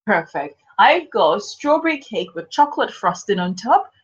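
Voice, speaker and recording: A woman hosting a casual interview podcast, chatty, rambling and self-deprecating, her voice husky from a cold. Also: mid-range voice, sing-song, normal speed, muffled recording. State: has a cold. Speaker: podcast host.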